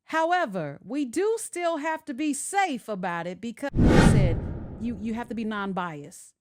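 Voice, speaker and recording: A woman's voice: on the high side, lively intonation, unhurried, a little mellow, slight background noise. A woman hosting a radio show in a casual, chatty way, speaking directly to her listeners. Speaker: radio and podcast show host.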